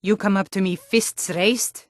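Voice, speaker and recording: A woman's voice: medium pitch, steady tone, measured pace, dry recording, studio quality, natural voice. A woman voicing a tough, blunt female Nord warrior guard in a fantasy video game, stern, taunting and combative. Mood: gruff, watchful.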